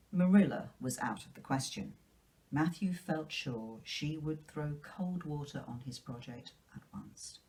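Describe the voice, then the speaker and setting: A woman reading a children's classic aloud as a storyteller, in an expressive, measured narrating style. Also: low voice, recorded from a distance, rough recording.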